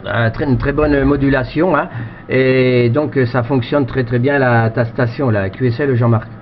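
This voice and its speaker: A man's voice: mid-range voice, steady tone, normal speed. Rambling male ham operator, dull lo-fi sound. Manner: hesitant, repetitive, rambling delivery. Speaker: amateur radio operator. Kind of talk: casual radio contact chat with ham jargon. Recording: dull lo-fi radio-station audio, faint noise.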